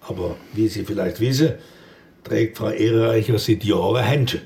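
An actor playing a suspicious, stubborn small-town police investigator with a southwest German accent from Baden, pointed and probing in a radio drama. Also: male voice, fairly low pitch, normal speed, creaky, a little mellow, a little hiss or hum. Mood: insistent, suspicious.

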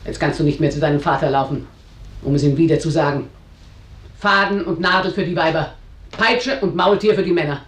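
Actress as a stern, domineering widowed matriarch, roomy sound. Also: female voice, sits low, fairly quick, close to an everyday voice. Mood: commanding. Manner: commanding, curt, haughty. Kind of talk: German radio drama acting.

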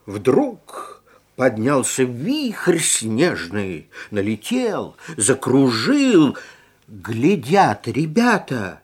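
Female storyteller for children's audio tales, slightly husky, wide swings of pitch, gentle and expressive. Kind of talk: children's fairy-tale narration.

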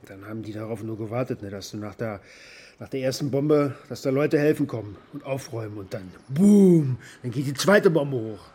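A man acts out a hard-bitten, cynical former security contractor in middle age, telling his story in a radio play. His talk is gruff and colloquial, at an average male pitch, with clear noise behind the voice. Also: animated, brisk, dark tone, natural voice. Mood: bitter. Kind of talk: monologue in a naturalistic German radio drama.

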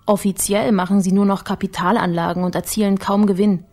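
A shrewd woman researcher, played by an actress in a radio crime drama, with a medium-pitched voice. She explains things coolly and confidently. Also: level tone, brisk, a little mellow, soft background music, close to an everyday voice. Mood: cool, explanatory. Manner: matter-of-fact, confident.

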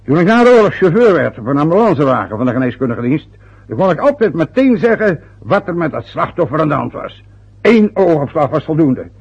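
Radio drama: a pompous old dad, raspy and grumbling, full of his own importance, on a muffled old recording. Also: on the high side, sing-song, a little fast, full character voice. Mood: boastful, wistful. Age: older. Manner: boastful, grumbling, self-important. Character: aggrieved, self-important, boastful older father, former ambulance driver. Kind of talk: radio drama acting, long rambling monologues.

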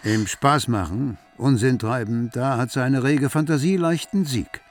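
A man narrating a literary radio play in a measured, dramatic storytelling manner. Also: medium pitch, natural voice. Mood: measured narration. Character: literary narrator telling of a poor dreaming artist. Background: music.

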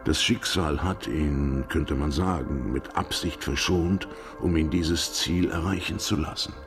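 A world-weary lover in a radio play, resigned to death. His voice sits extremely low and crackles with gravel, brooding and deliberate over music. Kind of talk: German radio drama acting.

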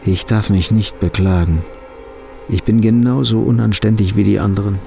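Brooding low, creaky inner voice. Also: male voice, fairly even intonation, normal speed, dark tone, soft background music. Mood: bitter, despairing. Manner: brooding, bitter. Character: despairing hunted man's brooding inner voice. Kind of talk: radio drama interior monologue.

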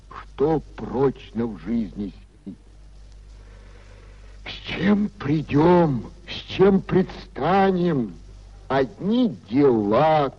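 An actor playing a sanctimonious, fretful old government clerk in a 1950s Soviet radio play, moralizing in a pompous, lamenting manner. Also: male voice, a little high, exaggerated voice. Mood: mournful. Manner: pompous, unctuous, sententious, lamenting. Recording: dull 1950s radio recording.

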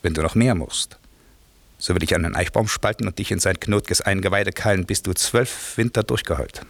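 A man's voice: low-pitched, normal speed, vocal fry, no room sound. A man playing a stern, imperious magician master who can turn benevolent, declaiming verse with authority in a German classical radio play. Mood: angry, accusing.

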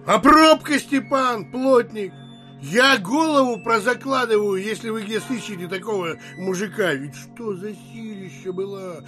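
An actor playing a bullish, stubborn provincial landowner in an audio drama, haggling and boasting emphatically. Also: male voice, sits high, music in the background. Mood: proud boasting. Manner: emphatic, blustering, drawn-out boasting. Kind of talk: theatrical audio drama acting in Russian classic.

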